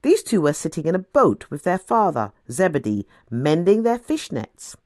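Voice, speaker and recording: A woman reading scripture as a dramatic storyteller, deliberate, with emphatic pauses. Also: fairly low pitch, big pitch swings, a little mellow, no echo, studio quality. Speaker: scripture reader. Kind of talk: expressive scripted Bible narration.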